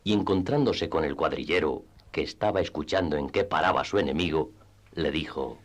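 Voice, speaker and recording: A man's voice: rumbling low, normal speed. A man narrating a classic literary tale for radio theatre in a scripted storytelling style.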